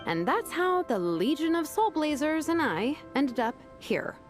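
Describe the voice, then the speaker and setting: A woman voicing a laid-back, wry young female vice captain who talks in a relaxed, teasing way. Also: sits high, a little slow. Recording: game cutscene with background music.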